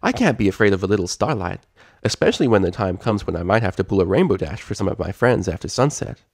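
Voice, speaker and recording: A man's voice: deep voice, expressive, fast talker, vocal fry, dry recording, studio quality, heavily acted. A man voicing a bubbly, eager young female pony princess in a storybook reading. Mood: eager, relieved.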